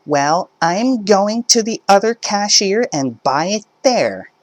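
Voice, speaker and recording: A man voicing a shrill, demanding entitled middle-aged mother who argues with a store cashier. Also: lively intonation, takes it slowly, dry recording. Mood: indignant. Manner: indignant, demanding, shouting.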